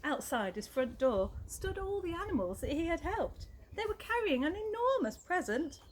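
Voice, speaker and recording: Woman, high voice, lively swooping pitch, expressive children's storytelling, faint noise. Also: normal speed. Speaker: story reader, teacher.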